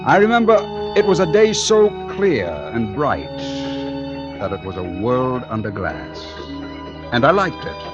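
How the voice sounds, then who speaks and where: An actor playing a brooding, fatalistic male painter who narrates his dark tale with moody, deliberate phrasing in old radio suspense style. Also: medium pitch, sing-song. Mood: intense. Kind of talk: 1940s-50s radio suspense acting, first-person narration. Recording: dull old radio recording, music behind.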